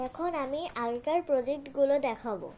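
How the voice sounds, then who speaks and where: A woman's voice: high-pitched, fairly quick, darkish tone, a little hiss or hum. A young girl informally walking through a school project, step by step. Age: child. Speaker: student presenting a school project. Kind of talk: informal screen demonstration.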